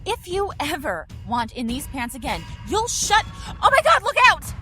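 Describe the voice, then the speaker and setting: Animated, high-voiced young girlfriend. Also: moderate pace, loud music behind the voice. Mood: scared. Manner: sardonic, bossy, nervy. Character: sardonic, jumpy young girlfriend in horror tale. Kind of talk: casual dialogue in audio horror drama.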